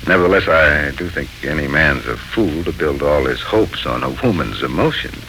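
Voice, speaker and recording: A very low, bright-edged man's voice with creak in it as a patient, teasing uncle. The delivery is dry and animated 1940s radio acting on a noisy old recording. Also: moderate pace, heavily acted. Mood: thoughtful, advising. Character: wry, patient, fond uncle.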